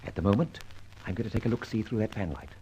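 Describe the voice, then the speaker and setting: An actor playing a jaunty, confident gentleman detective in an old-time radio serial, with theatrical, old-fashioned phrasing. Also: on the low side, fast talker, highs cut off, natural voice. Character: suave, jaunty gentleman adventurer-detective, male, whimsical and confident. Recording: noisy old radio recording.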